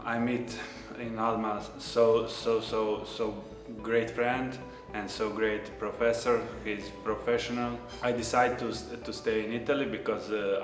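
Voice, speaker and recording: A man speaks in halting, simple English and often repeats words. His voice sits fairly low. The recording is echoey, with guitar music underneath. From Croatia. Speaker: culinary student and chef. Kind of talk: interview testimonial. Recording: echoey room with background music.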